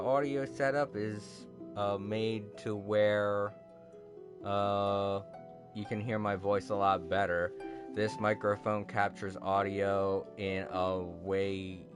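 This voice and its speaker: A sleepy man chatting casually on a livestream, rambling and halting with many fillers, self-deprecating. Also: lowish voice, steady tone, music in the background. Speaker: streamer. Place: home room with a TV. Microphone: cardioid desk microphone.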